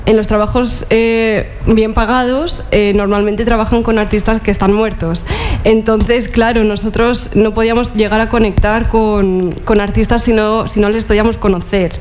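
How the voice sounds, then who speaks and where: A woman's voice: fairly high pitch, normal speed, slight background noise. Hesitant Spanish-speaking woman curator on stage. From Murcia, Spain. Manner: relaxed, hesitant, many fillers. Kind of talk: panel talk. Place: stage in a large auditorium.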